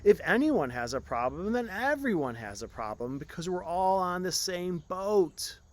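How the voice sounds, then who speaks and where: A man's voice: sits high, big pitch swings, moderate pace, voice pushed up. A man voicing a storybook prince, royal and earnest. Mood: desperate. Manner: commanding, then worried and pleading. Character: pompous prince turning frightened, pleading man. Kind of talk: storybook character reading.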